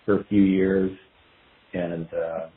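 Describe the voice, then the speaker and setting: Low-voiced man on a dull, echoey line. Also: flat delivery, slow pace, slight background noise. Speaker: guest musician, guitarist. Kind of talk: casual podcast interview answers. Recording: dull phone-like line, roomy echo.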